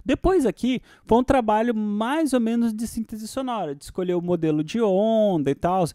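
Male tutorial host, Brazilian accent, slightly high pitch, dry close mic, informal software walkthrough. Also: normal speed, dry recording. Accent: Brazilian Portuguese. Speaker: music production tutorial host.

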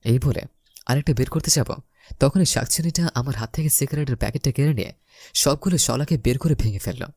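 A male storyteller reads a scripted romantic tale in the first person. His voice is medium in pitch with a dry, echo-free sound, and he acts out lines of dialogue. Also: a little fast, darkish tone. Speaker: story narrator.

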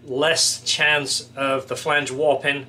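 A man's voice: mid-range voice, flat delivery, takes it slowly, pushed and bright, a little hiss or hum. A middle-aged man casually explaining a product as a fabricator, in an informal, hands-on way. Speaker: fabricator and welder presenting a product. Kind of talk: casual workshop product demonstration. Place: small indoor workshop office.

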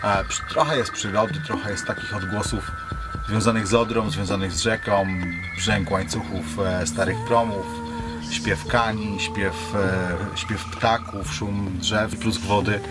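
A man presenting his own creative project as its musician and creator, in halting, hesitant phrases. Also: on the low side, steady tone, loud music behind the voice.

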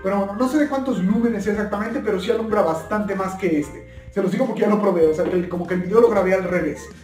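High-voiced man, chatty vlogger. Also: fast talker, darkish tone, faint music behind. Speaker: video host. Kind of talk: casual product-test vlog. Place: plain indoor room, also outdoors at night.

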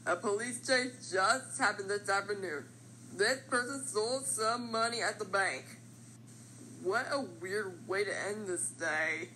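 A woman's voice: medium pitch, bright, forceful tone, a little hiss or hum, low-quality recording. A woman performing cartoon character voice lines as an amateur voice actor, in an exaggerated, theatrical manner.

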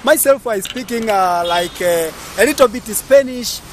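A man's voice: extremely high pitch, lively intonation, normal speed, a little hiss or hum. A young man with a Tanzanian accent hosting a casual outdoor interview, talking straight to his viewers with a camera held at arm's length. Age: young adult. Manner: chatty, eager, addresses viewers directly. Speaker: vlogger host. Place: outdoors at a market stall area.

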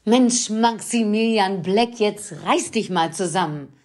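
A woman's voice: medium pitch, slow pace, a little mellow, lightly acted. A woman playing a bossy, scheming evil witch in an audio drama, with an imperious theatrical delivery. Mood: commanding, incanting.

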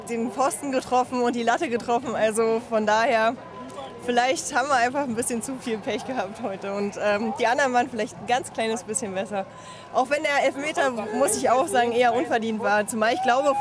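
A young woman, a football fan, answering casually in a street interview outdoors near a stadium. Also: a little high. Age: young adult. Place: outdoors among crowd outside a football stadium. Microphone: handheld interview microphone.

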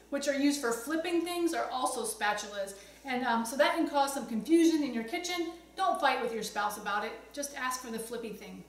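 High-pitched woman teaching in an echoey kitchen. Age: middle-aged. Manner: conversational, explanatory, chatty asides. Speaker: cooking instructor, host. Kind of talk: casual instructional demonstration to camera. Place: home kitchen, indoors. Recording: roomy echo, distant mic, some background noise.

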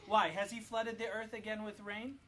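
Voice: mid-range voice, flat delivery, moderate pace, sharp tone. A parent leading a family Bible study, teaching children with questions and repeating answers back in an instructive way. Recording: distant mic with light background noise.

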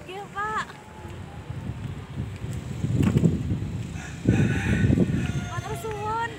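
A woman's voice: very high voice, fairly even intonation, measured pace. A young woman with a Javanese accent playing a dutiful, timid schoolgirl daughter, speaking politely and deferentially to her father on an outdoor porch. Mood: grateful. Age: young adult. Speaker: student theater actress. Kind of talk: amateur short film acting. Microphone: far camera. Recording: loud outdoor noise.

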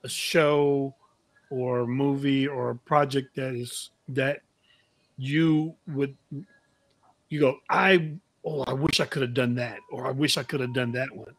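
A man's voice: medium pitch, steady tone, measured pace, dry recording, low-quality recording. A middle-aged man hosting a podcast in a casual, chatty style. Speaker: podcast host. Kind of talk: casual chat.

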